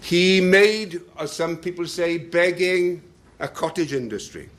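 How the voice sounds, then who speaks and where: A man giving a talk to a local group and reading passages aloud with slow, dramatic pacing. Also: high voice, fairly bright tone. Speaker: speaker at a talk. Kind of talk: lecture with readings.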